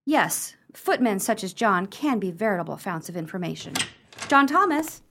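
A woman's voice: medium pitch, very animated, moderate pace, noisy background. A woman playing a watchful, wry sister in a comic period radio play, declaiming theatrically. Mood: observant.

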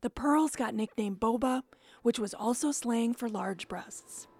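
Woman reporter narrating a radio feature, higher voice. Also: gravelly, warm tone, slightly rough. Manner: measured, descriptive storytelling read.

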